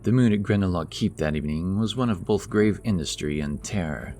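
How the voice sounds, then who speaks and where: Very deep male narrator. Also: a little fast, mellow. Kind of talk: audiobook narration.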